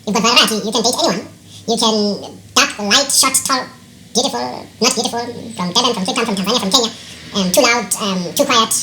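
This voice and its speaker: Female voice, forceful and bright, rhythmic recited delivery, roomy sound with faint background noise. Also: a little high, fast.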